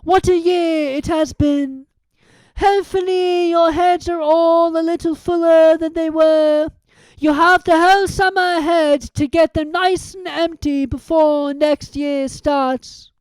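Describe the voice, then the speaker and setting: A reader voicing an old, kindly, eccentric male headmaster who speaks in a slow, whimsical, wheezy way. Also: very high voice, fairly even intonation, no room sound, voice pushed up, low-quality recording.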